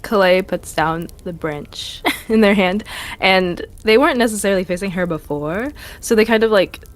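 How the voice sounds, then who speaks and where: A woman's voice: mid-range voice, moderate pace. A woman improvising casual narration of their character's actions as a player in a tabletop role-playing game.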